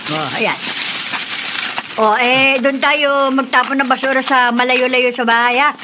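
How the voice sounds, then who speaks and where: An amiable auntie who startles easily in a radio drama. Her talk is exclamatory and colloquial, a touch high in pitch, over clear background noise. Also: measured pace. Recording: clearly noisy ambient background.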